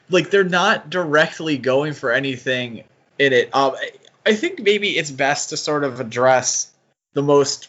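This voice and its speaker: Male podcast co-host, mid-pitched, conversational and analytical, thinks aloud. Slightly muffled lo-fi audio. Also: a bit bright. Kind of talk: casual analytical podcast discussion of comics.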